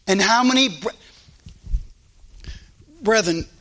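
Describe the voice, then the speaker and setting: A man's voice: sits high, normal speed, pushed and bright. A man preaching a sermon with fervent, emphatic delivery and many rhetorical questions, in a church. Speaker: pastor. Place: church sanctuary. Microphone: live stream recording.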